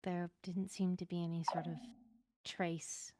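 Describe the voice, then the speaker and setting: A woman playing an earnest, hesitant fantasy townsperson in an improvised roleplay, speaking in halting, careful phrases. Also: lowish voice, little pitch movement, very noisy, lightly acted. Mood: matter-of-fact. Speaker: roleplay player acting as a witness. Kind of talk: improvised in-character roleplay dialogue.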